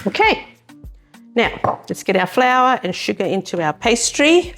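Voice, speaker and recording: A woman's voice: fairly high pitch, sing-song, a little slow, a bit bright, faint music behind. A middle-aged South African woman co-hosting a home cooking show, chatting through each step as she works. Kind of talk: casual cooking demonstration.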